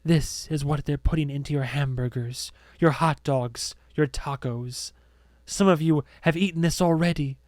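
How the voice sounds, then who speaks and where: A man's voice: on the high side, normal speed, darkish tone, no echo, clean studio sound, natural voice. A man voicing a menacing woman zealot in an audiobook, theatrical and accusing. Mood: solemn, insinuating. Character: solemn, menacing woman zealot preaching to followers. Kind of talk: audiobook character acting.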